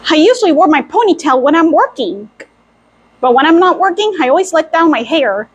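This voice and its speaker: Squeaky-high cartoon mouse sorceress. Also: female voice, big pitch swings, fairly quick, dull audio. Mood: chatty, musing. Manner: chatty, thinking aloud in short exclamations. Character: playful female cartoon mouse apprentice sorceress, cheerful and clumsy. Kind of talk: costumed character role-play video. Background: faint music.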